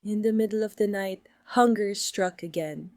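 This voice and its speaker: A woman's voice: medium pitch, normal speed, darkish tone, dry recording. A woman reading a scripted bedtime story for children as its narrator.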